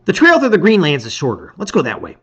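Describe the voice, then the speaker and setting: A man's voice: high voice, wildly swooping pitch, fast, a bit bright, slightly rough, highs cut off, voice pushed up, full character voice. A man voicing a young, impulsive monkey adventurer in a storybook, eager and impatient. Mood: eager debating. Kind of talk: storybook read-aloud.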